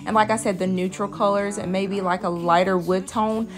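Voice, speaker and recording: A woman's voice: medium pitch, steady tone, moderate pace. A woman vlogger giving a casual, conversational voice-over. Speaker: vlogger, host of a cleaning channel. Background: music.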